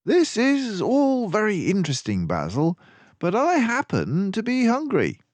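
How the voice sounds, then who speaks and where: A man's voice: high voice, wildly swooping pitch, measured pace, dry recording, voice pushed up, exaggerated voice. A man voicing a polite, slightly peevish mouse sidekick in a storybook, with a prim, wordy manner. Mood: mildly impatient.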